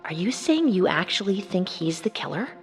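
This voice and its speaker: An actress playing a cautious, scolding 1950s hospital nurse in an audio drama. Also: female voice, fairly low pitch, sing-song, moderate pace, soft background music. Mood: reproving.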